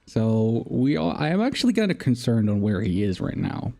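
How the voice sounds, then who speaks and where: Guy streaming a game, relaxed mellow voice, chatting and reading dialogue out, quiet music under him. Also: mid-range voice, normal speed, vocal fry. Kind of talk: casual live-stream commentary, reading game text aloud.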